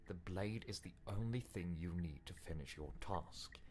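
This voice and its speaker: Controlling storybook narrator acted by a man, very low, authoritative, insistent, slightly noisy recording. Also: a little mellow, close to an everyday voice. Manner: measured, authoritative, exasperated when challenged. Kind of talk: scripted second-person game narration.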